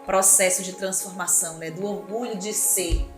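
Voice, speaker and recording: A woman's voice: mid-range voice, fairly even intonation, normal speed. A woman hosting a school podcast, chatting casually and enthusiastically with her guests and calling out to listeners. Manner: enthusiastic, affirming, addresses listeners as "minha gente". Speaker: podcast host. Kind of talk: casual interview chat. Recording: echoey room with background music.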